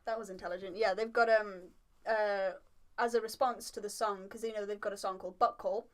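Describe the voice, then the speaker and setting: A woman's voice: medium pitch, steady tone, brisk. A young woman with a slight Welsh accent chats casually on a podcast in a giggly, rambling way. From Wales. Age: young adult. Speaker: podcast co-host.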